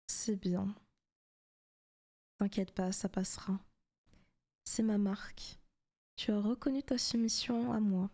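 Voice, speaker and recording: Slow, whispery demon girlfriend, acted by a woman. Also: medium pitch. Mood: possessive, tender. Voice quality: soft, breathy, often whispered. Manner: slow, intimate, teasing. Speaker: ASMR roleplay performer. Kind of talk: whispery ASMR roleplay.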